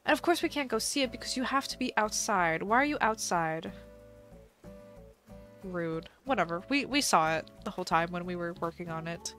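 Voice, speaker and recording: A woman's voice: on the low side, animated, moderate pace, a bit bright. A woman live streaming a game in casual chat, chatty and giggly, her voice slightly stuffy from a cold. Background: faint background music.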